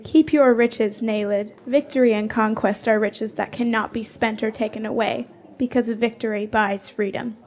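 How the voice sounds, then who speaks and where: Woman doing a proud young warrior girl, bit high voiced, blunt and defiant, fantasy audio drama. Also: darkish tone, lightly acted. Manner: bold, boastful, declarative. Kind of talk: fantasy radio drama acting.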